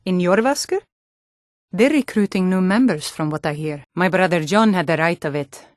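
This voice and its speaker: A woman's voice: on the low side, expressive, normal speed, dry recording, clean studio sound, close to an everyday voice. An actress voicing a hardy, no-nonsense Nord townswoman and guard in a fantasy video game, with a firm, plain-spoken delivery. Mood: steady, watchful. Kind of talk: scripted fantasy game dialogue.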